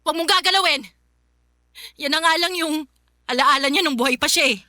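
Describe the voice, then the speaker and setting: Shrill, grieving Filipino widow. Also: female voice, brisk, sharp tone, no echo, clean studio sound. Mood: defensive, hurt. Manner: emotional, pleading, defensive. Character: grieving young widow, stubborn and wounded, lashing out. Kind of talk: Filipino radio drama acting.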